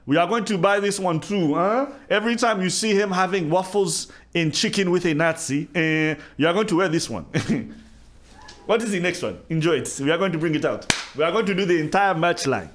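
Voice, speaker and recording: A man's voice: sits high, expressive, brisk. A man putting on a mock African accent, speaking in stilted formal phrases ending in "eh?" while reacting to merch on a stream. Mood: playful mock.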